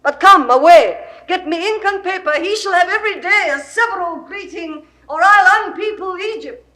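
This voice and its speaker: A high-pitched, regal Egyptian queen, lovestruck and volatile. She declaims classical verse with grand theatrical passion, as in a 1960s recorded stage drama. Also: moderate pace, bright, forceful tone, slight background noise. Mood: fierce, imperious. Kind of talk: 1960s classical Shakespeare audio drama acting.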